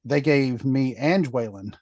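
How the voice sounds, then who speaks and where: A man's voice: mid-range voice, a little slow, a little mellow, no echo. Man, casual podcast chat, meandering, filler-heavy, dead dry sound. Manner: rambling, chatty, many fillers. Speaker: podcast co-host.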